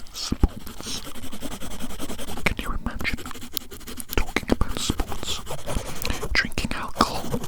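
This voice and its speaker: A person whispering very close to the mic in a breathy, unvoiced ASMR roleplay ramble, softly repeating words and phrases. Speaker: ASMR artist playing an alien who draws on the listener's face. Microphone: very close microphone.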